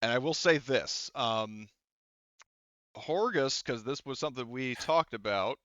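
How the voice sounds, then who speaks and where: Smooth-voiced, rambling male game master. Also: medium pitch, animated, unhurried, fairly bright tone, dry recording, muffled recording. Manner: rambling, profane, jokey. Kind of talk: casual tabletop game narration.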